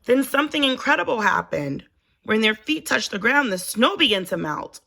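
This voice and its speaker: A woman reading a children's story aloud in an expressive, deliberate storytelling manner. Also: fairly high pitch, vocal fry. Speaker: storybook reader, teacher.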